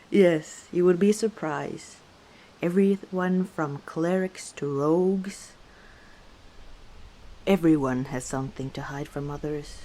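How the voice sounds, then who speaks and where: Female orc innkeeper for ASMR roleplay, acted by a woman; dark, relaxed tone, leisurely delivery, playful and easygoing. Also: low voice. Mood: chatty, confiding. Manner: unhurried, playful teasing with frequent chuckles. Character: easygoing, teasing female orc tavern owner. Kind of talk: ASMR roleplay, one side of a conversation.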